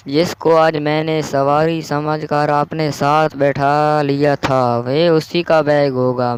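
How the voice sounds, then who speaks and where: A man's voice: a little high, smooth, rough recording. A man playing an honest, humble rickshaw driver in a cartoon story, speaking earnestly and politely.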